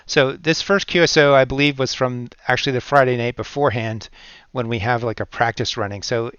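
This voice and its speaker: An American man giving an informal step-by-step software tutorial, thinking aloud as he works through each step. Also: mid-range voice, fairly even intonation, a little fast, smooth, no echo, highs cut off. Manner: thinks aloud while working through steps. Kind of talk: step-by-step screen-recording tutorial narration, informal.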